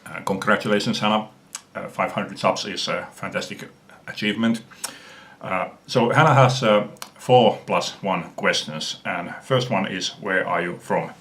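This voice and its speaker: A man from Finland with a Finnish accent, talking earnestly about his music collection in an unhurried video response, pausing often. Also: sits low, fairly bright tone. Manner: earnest, unhurried, frequent "uh" pauses. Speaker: music fan vlogger.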